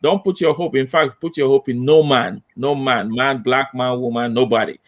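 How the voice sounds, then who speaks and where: A middle-aged man speaks over a laptop webcam on a video call, gesturing as he talks and driving points home with repetition. His pitch sits in an ordinary middle range. He sounds like a consultant laying out his approach on a panel. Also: normal speed, lo-fi sound. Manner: animated, gestures with hands, emphatic repetition. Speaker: diversity consultant. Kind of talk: panel discussion. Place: home office with banner.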